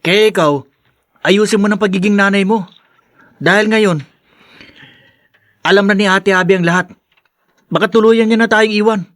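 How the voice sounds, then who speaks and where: Man as a high-voiced, earnest young Filipino brother. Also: fairly even intonation, normal speed, pauses often, a bit bright, no room sound. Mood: scolding. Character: reproachful, protective young adult brother Tyron, conscientious. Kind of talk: Filipino radio drama acting.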